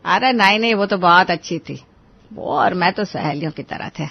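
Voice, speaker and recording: A woman's voice: fairly low pitch, expressive, moderate pace, gravelly, husky, muffled recording, heavily acted. A woman voicing an anxious, strict mother-in-law in a moral-story drama, with an emphatic, admonishing manner. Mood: explaining, resigned.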